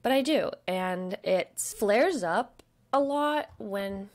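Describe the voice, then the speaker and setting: A young woman with a stutter, chatting casually at her desk about shopping, with lots of fillers and soft murmured asides. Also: medium pitch, animated, slow. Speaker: vlogger. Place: home desk.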